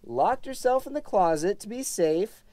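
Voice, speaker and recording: A woman's voice: medium pitch, lively intonation, normal speed, dark tone, no room sound, close to an everyday voice. A woman playing a prim, easily exasperated puppet dog reading a story aloud in a children's puppet show. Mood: determined storytelling.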